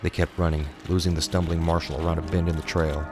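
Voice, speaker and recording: A man narrates horror fiction in a very low voice. The delivery is scripted and suspenseful, with little movement in pitch, and music plays clearly underneath. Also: flat delivery, fast talker.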